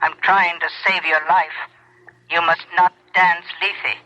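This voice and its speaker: An actor playing a mysterious anonymous caller, terse and insistent, through a telephone filter in a 1970s radio drama. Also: male voice, a little high, level tone, unhurried, sharp tone, muffled recording, a little hiss or hum. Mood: ominous, insistent. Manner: urgent, terse.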